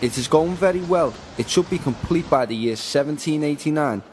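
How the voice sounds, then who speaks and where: A man's voice: on the high side, animated, fairly quick, a little mellow, a little hiss or hum, close to an everyday voice. A man playing a formal, conscientious 17th-century English builder and slave owner who is troubled, in a radio drama with reflective narration. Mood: polite. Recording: music bed behind the voice.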